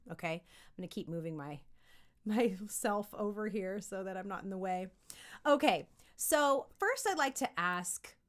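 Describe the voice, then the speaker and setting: A woman giving an upbeat, conversational lesson as a voice acting coach, animated and encouraging, often checking in with listeners. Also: fairly low pitch. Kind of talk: upbeat instructional talk to camera.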